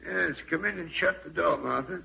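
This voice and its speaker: A thin, old-radio recording carries an ailing old man with a rough, gravelly voice. He is insistent and brooding, commanding those around him while haunted by fear of the grave. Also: fairly high pitch, normal speed, distant mic, exaggerated voice. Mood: grave, bitter. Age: older. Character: old ailing man, morbidly fearful of live burial, domineering. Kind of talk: 1940s radio horror acting. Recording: muffled vintage radio broadcast, thin narrow band.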